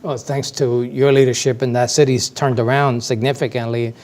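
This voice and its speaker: A man in his sixties hosts a studio interview show. His pitch sits in the middle, and his delivery is formal, as a presenter's would be. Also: level tone, brisk, darkish tone. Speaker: talk show host. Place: indoor TV studio.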